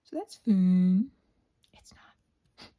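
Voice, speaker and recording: A soft-spoken woman chatting casually in an ASMR craft ramble, often slipping into whispers as she hesitantly thinks aloud. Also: on the low side, animated, extremely slow, highs cut off. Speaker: host of a craft ramble channel. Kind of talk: casual rambling chat while painting, ASMR.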